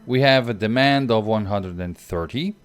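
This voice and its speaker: A man's voice: lowish voice, moderate pace, clear, resonant tone, faint music behind. A young Portuguese man with a Portuguese accent, thinking aloud through a strategy game on stream and chatting with viewers. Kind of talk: live gameplay commentary.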